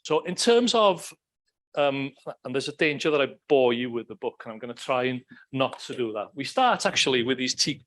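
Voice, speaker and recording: A man's voice: mid-range voice, fairly bright tone. A middle-aged man with a Welsh accent giving a conversational academic lecture, with frequent ums and restarts. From Wales. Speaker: academic speaker. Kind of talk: lecture talk.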